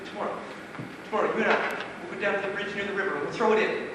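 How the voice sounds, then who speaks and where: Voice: mid-range voice, rapid-fire, close to an everyday voice. Actor as a frightened, pleading soul, very echoey hall. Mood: weary. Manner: pleading, hesitant, fearful. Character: frightened, anguished, childlike person haunted by dread. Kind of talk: amateur live stage play acting. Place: small theatre stage. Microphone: distant recorder in the hall. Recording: very echoey hall, distant, noisy.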